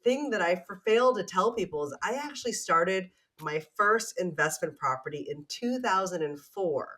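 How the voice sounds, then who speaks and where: A woman's voice: on the low side, lively intonation, normal speed, a bit bright. A woman in her forties from Canada, a guest on a podcast, telling her story openly in casual conversation. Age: 40s. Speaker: podcast guest, real estate investor. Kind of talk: casual interview chat.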